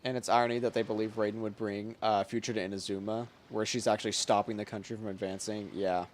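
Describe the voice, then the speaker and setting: A man's voice: fairly low pitch, little pitch movement, fast, a little hiss or hum. A man streaming a game, giving informal, slangy reaction commentary in a casual chat style. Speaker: gaming streamer. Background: game music.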